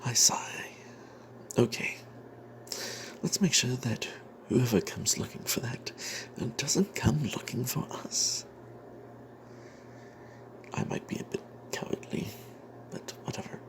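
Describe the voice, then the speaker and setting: Casting: man playing sly, cowardly cleric in a whispered fantasy story, unhurried delivery with a creaky edge. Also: medium pitch, expressive. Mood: grudging. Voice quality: breathy whisper with creaky edges. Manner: slow, hushed, secretive, hesitant pauses. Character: greedy, cowardly male adventurer cleric, sly and grudging. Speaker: narrator of a tabletop fantasy tale. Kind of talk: breathy whispered ASMR first-person storytelling.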